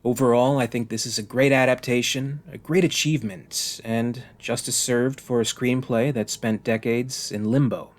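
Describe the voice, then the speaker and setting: A man hosts a fan review channel, reading a scripted video essay. His voice sits at an ordinary middle pitch, and he presents in the manner of a prepared narration. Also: fairly even intonation, normal speed, hardly any pauses.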